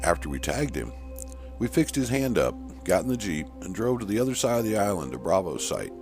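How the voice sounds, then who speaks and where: A man's voice: on the low side, very animated, brisk, music in the background. A man voicing an earnest male scientist who recounts events emphatically in an audio horror story. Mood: earnest, emphatic. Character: male shark researcher earnestly recounting an attack.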